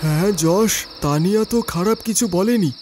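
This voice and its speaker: Guy doing a college lad in a spooky cartoon, high voice, teasing and questioning, noisy cricket ambience. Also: moderate pace, mellow, close to an everyday voice. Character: young male student, teasing, doubting friend. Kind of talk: animated horror story dialogue.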